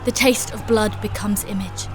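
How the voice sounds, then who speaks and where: A woman playing a fierce, devout warrior knight in a dark fantasy audio drama, narrating with solemn, deliberate intensity. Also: mid-range voice, fairly even intonation, lightly acted. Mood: grim, menacing. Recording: voice over loud dramatic music.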